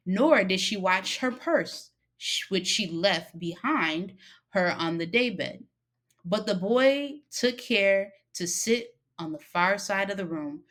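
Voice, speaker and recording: A woman narrating a short story expressively, with dramatic pauses and emphasis. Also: lowish voice, fairly bright tone. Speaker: story reader.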